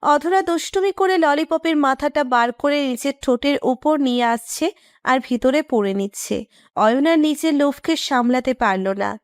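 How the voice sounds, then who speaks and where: High-pitched woman narrating a story. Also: dry recording, studio quality.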